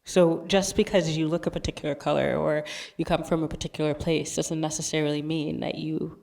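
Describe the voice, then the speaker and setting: A woman's voice: low-pitched, little pitch movement, a little fast, gravelly, a little mellow. A woman from Zambia and the United States speaking earnestly as a panelist, reflecting on her experience to an audience.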